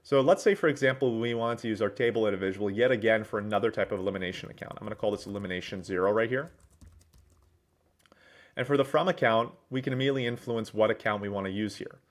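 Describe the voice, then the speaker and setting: A man with a fairly low-pitched voice walks through a piece of software on a screen share. He speaks as a presenter, explaining each step in a businesslike, wordy manner. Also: fast. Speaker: presenter, managing director at a software consultancy.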